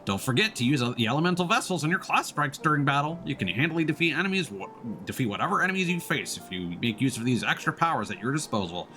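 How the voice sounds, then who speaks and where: A man's voice: mid-range voice, sing-song, quick pace, few pauses, bright, forceful tone. A man giving casual gaming commentary, thinking aloud and sometimes reading on-screen text. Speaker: streamer. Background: game music.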